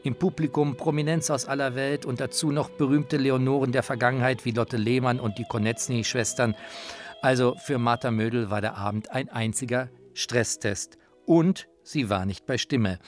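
Man narrating a radio documentary. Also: mid-range voice. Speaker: presenter and narrator.

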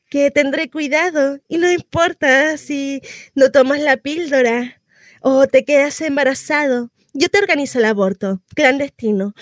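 A woman's voice: high voice, dry recording, studio quality. An actress with a Chilean accent playing a frantic, sardonic pregnant woman in an exclamatory, melodramatic theatrical monologue. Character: frantic, sardonic pregnant woman going through labour and motherhood.

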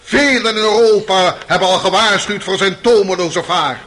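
A stern, accusing prosecutor in a radio courtroom drama. His voice sits high for a man and has a bright, forceful edge. He delivers his case as solemn, theatrical oratory. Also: normal speed. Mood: solemn, demanding. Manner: rhetorical, declamatory, solemn. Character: stern, accusing male prosecutor in a Russian murder trial.

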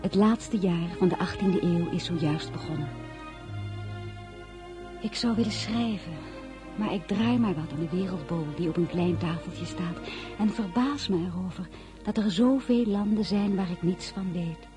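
A woman's voice: on the low side, normal speed, over music. A woman narrating a literary story in the first person, composed and scripted.

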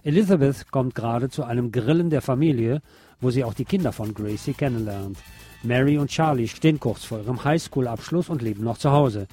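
Mellow-voiced man reviewing films over music. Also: mid-range voice, fairly quick. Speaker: film reviewer, podcast host. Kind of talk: film review narration.

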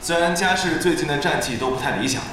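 A man plays a sober male match analyst in an audio drama. His fairly high voice is measured and doubtful, wrapped in very strong echo. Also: a bit bright, lightly acted. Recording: very echoey arena-like sound.

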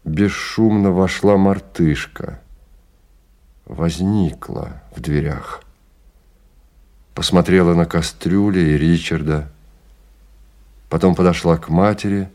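A man narrating a literary radio drama in a measured storytelling manner. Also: deep voice, darkish tone.

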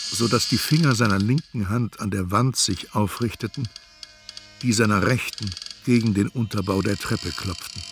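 Radio play narrator: introspective storyteller, fairly low voice, measured and precise literary delivery. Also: male voice, noisy background. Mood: detailed, absorbed. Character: introspective male narrator recounting an intimate encounter. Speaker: first-person narrator.

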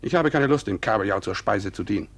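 An actor playing a grave, fatalistic farmer in a German radio play, speaking with emphasis. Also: male voice, lowish voice, lively intonation, fast talker, slightly rough, no room sound. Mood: urgent, determined. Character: resolute, fatalistic farmer convinced of coming doom.

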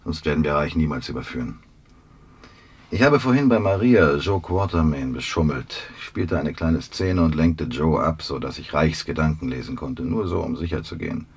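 An actor playing a shrewd, dogged police investigator with a probing, deliberate manner in a radio drama. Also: male voice, a bass voice, animated, slightly rough, exaggerated voice. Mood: probing, determined. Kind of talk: 1970s German radio drama acting.

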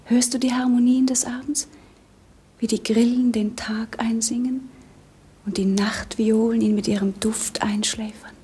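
An actress playing a fragile, dreamy young princess, speaking wistful poetry in a hushed, breathy voice in 1950s radio drama. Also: female voice, on the high side, flat delivery, measured pace. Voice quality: breathy, hushed, near-whisper. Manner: poetic, wistful.

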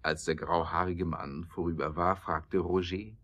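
A man's voice: low voice, steady tone, a little slow, a bit bright. A man reading scripted narration for a German audio drama.

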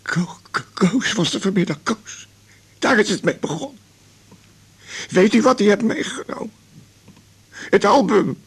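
An actor playing a bitter, stubborn man in his eighties, weary of life, in a radio drama, gravelly and husky, stammering and repeating his words. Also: sits high, expressive, a little slow, pauses often, full character voice. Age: 80s. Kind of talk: naturalistic radio drama acting.

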